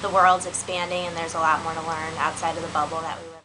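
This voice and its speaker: Give me a young woman with a lowish voice answering fluently, with ambience behind. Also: level tone, fast, background noise. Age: young adult. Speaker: student interviewee. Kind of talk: interview answers. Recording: clear background ambience.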